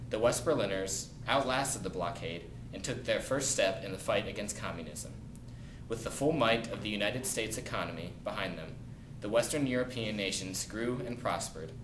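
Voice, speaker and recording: A young man with a fairly low voice reads a scripted news report. The room is echoey, with clear noise behind him. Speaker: news reporter in amateur mock newscast.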